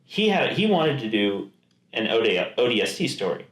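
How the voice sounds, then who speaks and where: Male podcast co-host, mid-pitched, loose rambling delivery, roomy echo. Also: very animated, moderate pace, fairly bright tone. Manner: rambling, full of restarts and quoted asides. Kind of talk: casual chat. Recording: echoey room.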